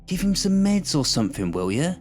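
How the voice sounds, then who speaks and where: A man's voice: on the high side, sing-song, a little fast, faint music behind, pitched above the performer's own voice. A man voicing a blunt, impatient male detective who swears freely, in an audiobook.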